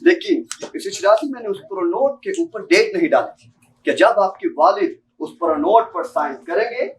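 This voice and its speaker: A man's voice: sits high, lively intonation, moderate pace, a little hiss or hum. A student actor, a young man with a Pakistani accent, playing an insistent, politely menacing bank clerk in an amateur stage drama. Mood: coldly menacing. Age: young adult. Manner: formal, insistent, repeatedly addressing the listener politely. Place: seminar hall stage. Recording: distant mic in a hall.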